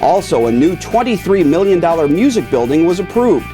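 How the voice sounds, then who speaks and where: An American man reading scripted newsreel narration in a measured announcer's delivery. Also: sits high, very animated, warm tone. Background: music.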